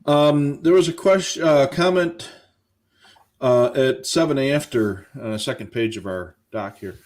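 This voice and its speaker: A man's voice: mid-range voice, unhurried, a little mellow. American man explaining tech casually. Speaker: live show co-host.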